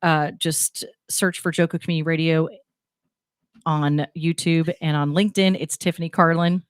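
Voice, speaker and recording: Female host, New Jersey accent, low-pitched, chatty and rambling, dry studio mic. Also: fairly even intonation, normal speed, clean tone, dry recording. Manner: chatty, rambling, self-deprecating. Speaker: radio show host. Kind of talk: casual chat with guests. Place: radio studio table.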